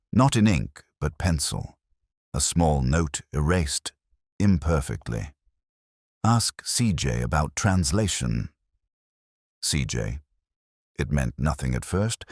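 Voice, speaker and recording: Very deep-voiced man narrating a detective story. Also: no room sound, clean studio sound. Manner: measured, literary, reflective delivery. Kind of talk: scripted first-person detective story narration.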